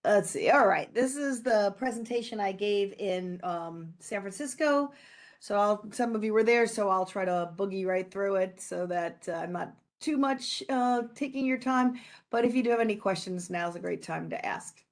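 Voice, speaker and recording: A woman giving a quick update to an online meeting, chatty and upbeat in a loose, informal way. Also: mid-range voice. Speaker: presenter.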